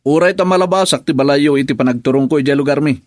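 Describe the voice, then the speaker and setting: A man's voice: on the high side, fast talker, darkish tone, dry recording, studio quality, lightly acted. A man acting in a Filipino radio drama as a troubled young married man, with an Ilocano accent and a hesitant, musing way of talking. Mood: friendly, respectful.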